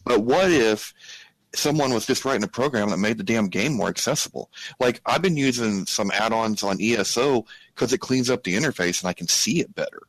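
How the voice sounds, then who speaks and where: A man's voice: mid-range voice, animated, brisk, dry recording, low-quality recording. A man on a gaming podcast panel, making his points in a blunt, informal way. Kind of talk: casual panel discussion about online games.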